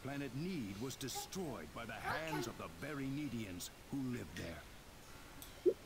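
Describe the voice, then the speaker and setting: A man playing a grave planetary leader in a video game, speaking in a formal, measured, solemn way. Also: mid-range voice, big pitch swings, vocal fry, exaggerated voice. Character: grave male leader of a high-tech planet, explaining past war. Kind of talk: scripted game exposition. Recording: game audio under streamers' chatter.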